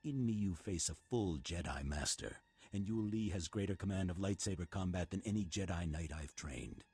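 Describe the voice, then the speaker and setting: Very deep, husky old Jedi master. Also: male voice, darkish tone. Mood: grave warning. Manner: measured, formal, solemn. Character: old Jedi master, stern, calm and unyielding. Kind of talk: video game voice acting.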